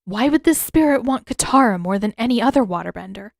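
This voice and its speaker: A woman's voice: fairly high pitch, expressive, quick pace, vocal fry, no room sound, clean studio sound. A woman voicing a young woman, a skeptical and curious daughter who speaks bluntly and asks questions.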